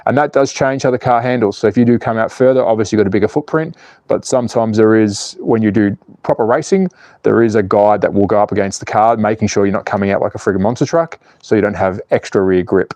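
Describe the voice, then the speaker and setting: Aussie bloke in his 40s tinkering with slot cars on a stream, cheeky and sweary, mellow voice, dead dry close sound. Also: fairly low pitch, fast talker, no room sound. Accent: Australian. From Australia. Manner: cheeky, swearing, laughs at his own jokes, reads out chat. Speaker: slot car channel host. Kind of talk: casual livestream chat.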